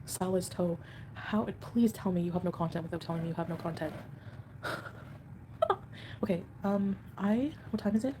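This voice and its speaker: A woman's voice: on the low side, normal speed, pauses often, dark tone, a little hiss or hum, low-quality recording. A woman hosting a casual livestream at home, chatting with viewers and answering comments in a rambling, defensive way. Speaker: livestream host.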